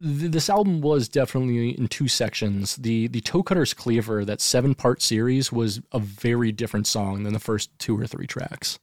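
A man hosts a casual music podcast, rambling and joking as he steers the talk. His voice sits at a middle pitch and sounds dry and close. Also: moderate pace, hardly any pauses, darkish tone, no room sound. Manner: joking, rambling, leads the topics. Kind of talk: casual chat.

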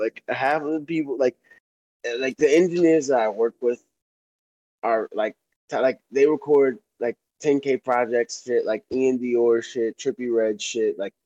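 A man's voice: medium pitch, dark tone, no room sound, muffled recording. A young man from North Florida, a rapper guest chatting casually on a podcast with many fillers. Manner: laid-back, rambling, heavy fillers.

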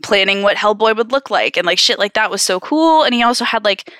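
A woman's voice: mid-range voice, expressive, fairly quick, fairly bright tone, clean tone, no room sound, studio quality. A woman chatting casually as a podcast co-host, enthusiastic, giggly and slangy. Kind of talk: casual chat.

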